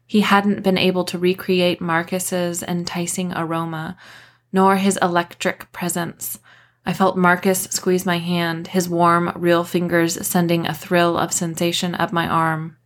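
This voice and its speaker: Low-pitched woman reading a novel aloud. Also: flat delivery, unhurried. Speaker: author reading own novel, podcast host. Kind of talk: scripted audiobook narration.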